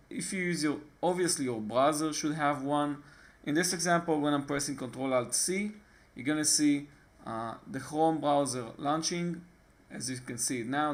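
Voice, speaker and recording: A man from Israel with an Israeli accent, talking casually and haltingly through a screen-recorded computer tutorial, often pausing on fillers and restarting his sentences. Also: fairly high pitch. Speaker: tutorial host.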